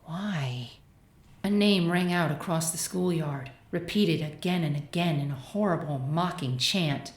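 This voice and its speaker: A woman's voice: deep voice, a little slow, breathy edge. A woman narrator reading a scripted fan-fiction story expressively.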